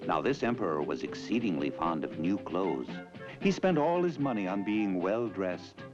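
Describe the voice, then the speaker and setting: A man's voice: fairly low pitch, a little mellow. A man narrating a scripted fairy tale as a theatrical storyteller. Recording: dull lo-fi old tape, music behind.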